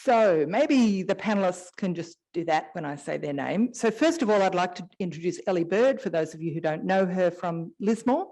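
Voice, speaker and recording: A woman's voice: on the low side, lively intonation, normal speed, hardly any pauses, a little mellow, low-quality recording. A woman moderating a conference panel, introducing speakers with chatty, easygoing asides. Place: conference hall. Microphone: shared stage microphone.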